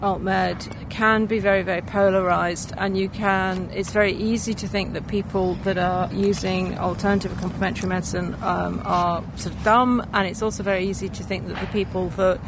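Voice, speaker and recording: Woman chatting outdoors over clear traffic noise. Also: medium pitch, level tone, fairly quick, few pauses. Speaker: skeptic speaker being interviewed. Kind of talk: casual conversational interview. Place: outdoors in a park.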